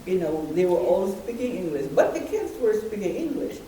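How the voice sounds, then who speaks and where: A woman's voice: mid-range voice, big pitch swings, a little fast, warm tone, far from the mic, a little hiss or hum. An older woman in a discussion, telling a personal story with hesitations and light laughter. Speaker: audience member. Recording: echoey room.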